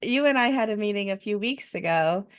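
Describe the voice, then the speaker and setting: A woman's voice: medium pitch, animated, fairly quick. A woman hosting a podcast, introducing a guest in a bubbly, effusive way. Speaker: podcast host. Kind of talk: podcast interview.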